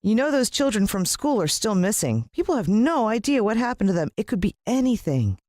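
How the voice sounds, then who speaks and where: A woman's voice: medium pitch, very animated, a little fast, darkish tone, dry recording, studio quality, pitched above the performer's own voice, close to an everyday voice. An American woman voicing a caring, practical mother in a children's audiobook, with a motherly, attentive manner. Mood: concerned.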